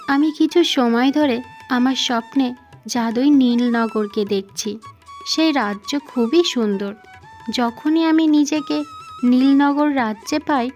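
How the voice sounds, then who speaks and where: A woman's voice: sits high, measured pace, darkish tone, dull audio. A woman voicing a stubborn, dreamy young princess in a fairy-tale cartoon, polite but resolute. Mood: dreamy, earnest. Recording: music under the voice.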